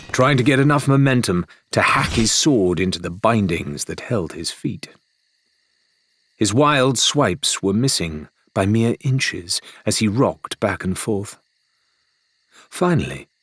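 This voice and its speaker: A man reading an adventure audiobook in a measured, expressive storytelling manner, with a husky voice. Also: lowish voice. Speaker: audiobook narrator. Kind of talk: scripted dramatic audiobook narration.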